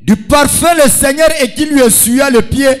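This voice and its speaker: A Congolese male pastor preaches in a very high-pitched, emphatic voice. He speaks in short bursts, leaving gaps for an interpreter, with music heard behind him. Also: normal speed. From Democratic Republic of the Congo. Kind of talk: sermon in short phrases with pauses for an interpreter. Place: church meeting.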